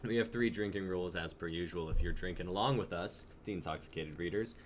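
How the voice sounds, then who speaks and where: A man's voice: low-pitched, fast. A man in his twenties casually hosting a livestream show. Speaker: host of a live-streamed reading show. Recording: clear background noise.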